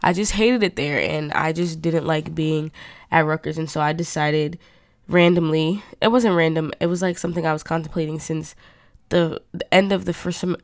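Young woman host, low-pitched, nasal and congested from a cold, casual rambling chat, dry close sound. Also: steady tone, normal speed, dry recording. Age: young adult. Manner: rambling, chatty, lots of fillers. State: stuffed up from a cold. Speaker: podcast host. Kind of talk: casual monologue.

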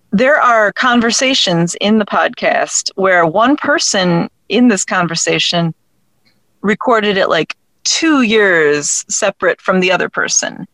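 A woman speaking as an interview guest in casual conversation, thinking aloud in a halting way. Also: mid-range voice, a bit bright, dry recording, low-quality recording. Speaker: guest, audio drama creator.

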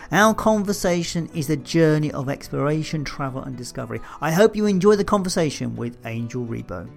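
Gravelly man reading a podcast intro. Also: a little high, animated, brisk, faint music behind. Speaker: podcast host. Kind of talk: scripted intro then interview.

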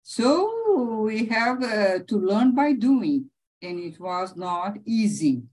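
Slow, halting middle-aged woman professor. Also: mid-range voice, big pitch swings, a little mellow, slightly rough, poor audio. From Brazil. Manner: halting, many restarts and fillers, laughs often. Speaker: interviewee, professor. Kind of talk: conversational interview.

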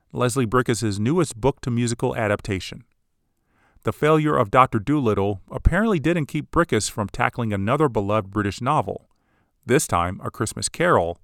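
A man hosting a podcast, giving scripted, measured commentary on film history. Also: mid-range voice, fairly bright tone, dry recording, clean studio sound. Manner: measured, analytical. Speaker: podcast host.

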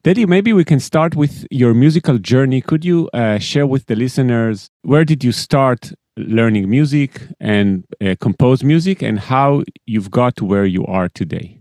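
A man's voice: mid-range voice, expressive, moderate pace, few pauses, darkish tone, no echo, studio quality. A man hosting a music career podcast, introducing and questioning his guest in an upbeat, eager way. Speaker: podcast host. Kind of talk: podcast interview.

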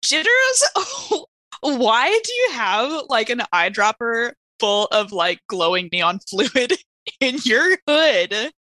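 A woman plays in a tabletop roleplaying game. Her voice is bright and on the high side, captured very dry. She slips between casual chat and improvised character talk. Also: big pitch swings, takes it slowly, no echo.

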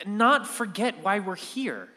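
A woman's voice: medium pitch, lively intonation, takes it slowly, pushed and bright, full character voice. A woman playing a blunt, impassioned cast member in a radio play, speaking emphatically. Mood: urgent, blunt. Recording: long reverberant hall sound.

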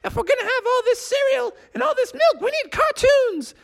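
A man voicing an excited neighborhood guy who hollers in a high, drawn-out voice. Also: gravelly, heavily acted. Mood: hyped.

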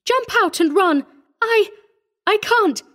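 A woman's voice: very high voice, animated, slow pace, a bit bright, pitched above the performer's own voice, full character voice. A woman voicing an anxious, commanding stepmother, dramatic and emphatic, in a story reading. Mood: panicked.

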